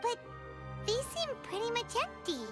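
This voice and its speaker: Tiny, curious fairy companion, video game: very high, childlike, highly animated delivery. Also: female voice, moderate pace. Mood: puzzled. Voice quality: squeaky, childlike. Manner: speaks of herself in third person, exclamatory. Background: game music.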